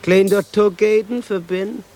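A man's voice: sits high, steady tone, moderate pace, a little mellow, faint music behind, lightly acted. A man playing an eager, obliging young ship's hand in a German radio play. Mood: eager, loud.

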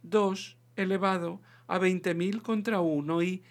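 A man plays a spaceship's announcer in a comic science-fiction story. The pitch is lifted, and the delivery is polite and soothing, like a flight attendant reading official notices. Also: fairly even intonation, slow. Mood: calmly official. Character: calm, courteous spaceship announcer voice.

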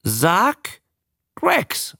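A man reading the part of a bewildered, plain-spoken male zookeeper in an audiobook, in a halting, astonished manner. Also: on the low side, lively intonation, no echo, studio quality, close to an everyday voice. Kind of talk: audiobook character voice.

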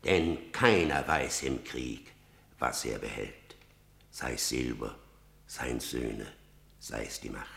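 A gravelly old blind seer. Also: male voice, very deep voice, slow pace, fairly bright tone, roomy sound, heavily acted. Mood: probing. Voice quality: gravelly, solemn declamation. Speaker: actor in radio drama. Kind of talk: stage verse drama.

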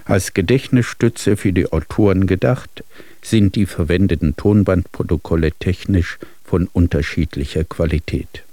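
A man with a deep, mellow voice narrates a radio documentary. His delivery is measured and factual, sober in tone, and recorded very close and dry. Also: no echo.

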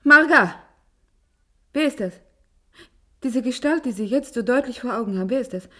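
A woman's voice: on the high side, animated, normal speed, pushed and bright. An actress in a German radio play as a commanding, visionary woman leading an experiment, speaking with urgent intensity and faltering when unsettled. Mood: bewildered, agitated.

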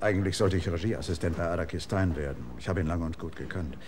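Ein Schauspieler spielt einen eifrigen, redseligen Regieassistenten, der sich anbiedert. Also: male voice, low-pitched, quick pace, a little hiss or hum, natural voice. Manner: pushy, excitable, name-dropping. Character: eager talkative male assistant director, ingratiating. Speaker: would-be assistant director.

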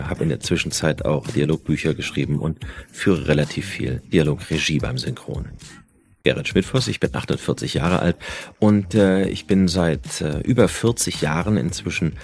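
Very deep-voiced man in his forties. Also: darkish tone, a little hiss or hum. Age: 40s. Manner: hesitant, frequent filler sounds. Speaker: dubbing actor and dialogue director. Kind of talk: self-introduction to camera.

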